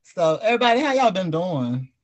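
A male podcast host with an American accent speaks in a fairly high voice. His style is casual and conversational, as in a group chat among friends. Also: sing-song, a little fast.

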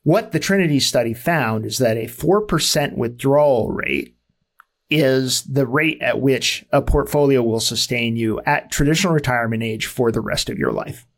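A man's voice: medium pitch, lively intonation, normal speed, vocal fry. A man in his forties, hosting a podcast and explaining ideas in an easygoing, self-deprecating way with frequent fillers. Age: 40s. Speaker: podcast host. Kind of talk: casual explanatory talk.

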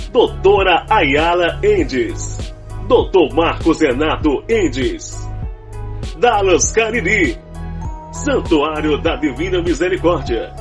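Slow man announcing sponsors over music. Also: a little high. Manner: slow, deliberate reading. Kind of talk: sponsor list read-out.

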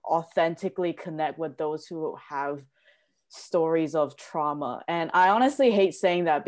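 Woman late 20s from south korea, kinda low voice, telling her own story, earnest and reflective. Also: a little mellow. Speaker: guest sharing personal story. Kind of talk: candid personal storytelling in conversation.

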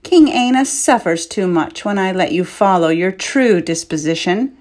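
A woman's voice: medium pitch, animated, normal speed, a little mellow, lightly acted. A woman reading a story, voicing a domineering, scheming king who speaks harshly. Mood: commanding. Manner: commanding, harsh.

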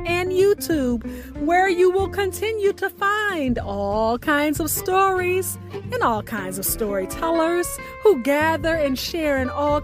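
A woman podcast host reading a scripted sign-off in deliberate, measured phrases with emphatic words. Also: high-pitched. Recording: music playing behind the voice.

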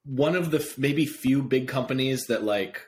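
A man's voice: medium pitch, moderate pace. A man hosting a podcast in a casual, informal and affirming conversational style. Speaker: podcast host.

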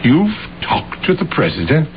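An actor playing a polite, placating government receptionist in an old-time radio drama. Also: male voice, a little high, wildly swooping pitch, normal speed. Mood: polite, doubtful. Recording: muffled old radio drama recording, faint noise.

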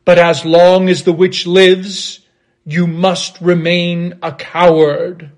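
Man putting on Oz, a slow imperious wizard. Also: sits high, flat delivery. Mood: stern, demanding. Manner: slow, drawn-out, commanding. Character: great and terrible wizard as fireball, imperious.

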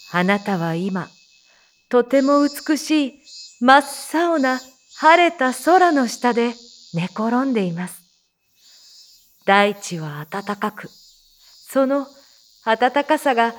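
A woman playing a soothing teacher who leads a guided meditation in a voice drama, counting and instructing in gentle, measured phrases. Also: a little high, a little hiss or hum. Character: soothing female teacher leading meditation amid zombie threat.

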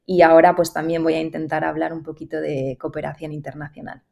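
A woman's voice: sits low, flat delivery, fast talker, creaky, mellow. A woman hosting a podcast interview, speaking in a thoughtful way with many fillers. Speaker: host, hospital pharmacist. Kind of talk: interview conversation.

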